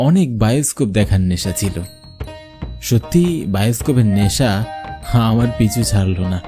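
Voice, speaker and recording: A man delivering scripted narration as a storyteller and presenter. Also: medium pitch.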